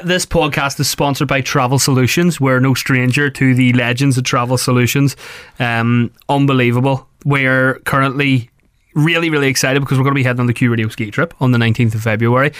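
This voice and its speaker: Tired, jokey radio host. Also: male voice, medium pitch, steady tone, brisk, a bit bright, no room sound, studio quality. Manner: chatty and jokey, a bit tired. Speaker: radio breakfast show host. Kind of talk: casual podcast chat.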